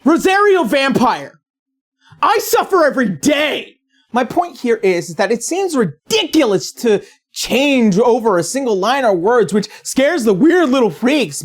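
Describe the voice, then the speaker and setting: A woman delivering scripted, opinionated commentary as a video essayist, in a sarcastic, blunt and profane manner. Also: a little high.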